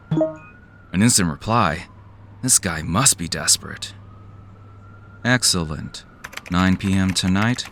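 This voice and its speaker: A man's voice: low-pitched, a bit bright, a little hiss or hum, heavily acted. A man playing a jaded, cynical office worker who narrates an audio drama in a weary, sardonic, profane way. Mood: wary.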